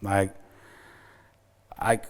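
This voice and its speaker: A middle-aged man from Iceland with an Icelandic accent, speaking candidly and reflectively to the camera for a recovery center, often restarting his sentences. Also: drawn-out words. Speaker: recovery center staff member. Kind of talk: personal talk to camera.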